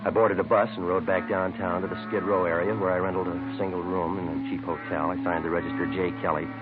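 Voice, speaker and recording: Actor playing a police sergeant, man, very low voice, fast clipped delivery, matter-of-fact, deadpan, vintage radio drama. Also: close to an everyday voice. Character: terse, matter-of-fact male police sergeant narrating his case. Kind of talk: 1950s radio drama acting, clipped narration. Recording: muffled, tinny old 1950s radio recording with music.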